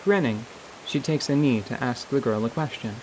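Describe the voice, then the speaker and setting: A man narrating scripted fantasy in a measured storytelling manner. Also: mid-range voice, a little hiss or hum, rough recording.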